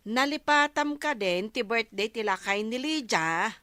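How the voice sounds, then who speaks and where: A woman's voice: on the high side, animated, a little slow, a bit bright, no echo, studio quality. A woman acting a gossipy, teasing village neighbor in an Ilocano radio comedy drama, with an Ilocano accent. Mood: chatty, amused.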